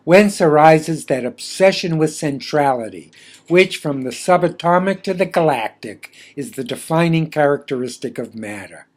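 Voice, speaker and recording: A man reading his own philosophical essay aloud in a measured, deliberate, formally literary way. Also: on the high side, animated, a little mellow.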